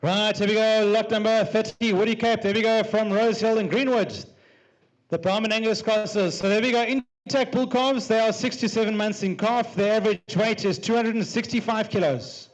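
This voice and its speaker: A man calling bids as a livestock auctioneer in a rapid, repetitive, rhythmic chant. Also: high voice, steady tone, poor audio.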